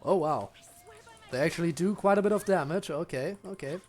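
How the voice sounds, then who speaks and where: A woman's voice: on the low side, a little fast, darkish tone. A woman streaming a video game, giving casual, offhand commentary as if half talking to herself while she plays. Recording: game music behind the voice. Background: video game music.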